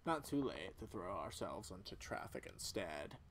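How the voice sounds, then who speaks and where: A man voicing a dry, cynical young female crocodile in a video game, with a deadpan, sardonic delivery. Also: low-pitched, moderate pace, a little hiss or hum. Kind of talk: amateur video game voice-over.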